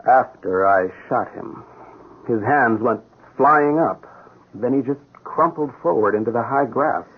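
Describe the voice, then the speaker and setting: A man's voice: medium pitch, a little slow, slightly rough. A man playing an earnest, troubled police sergeant with ten years on the force, in an old radio drama. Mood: troubled, defensive. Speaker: actor in a radio mystery drama. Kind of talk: 1970s-style radio drama acting. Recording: tinny, band-limited old radio broadcast.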